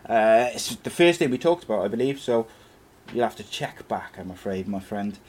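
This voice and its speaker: A man's voice: on the low side, lively intonation, moderate pace, a little mellow. A man hosting a casual football chat show, laid-back and chatty, reading out viewer questions. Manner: laid-back, chatty, calls co-host mate. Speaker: show host.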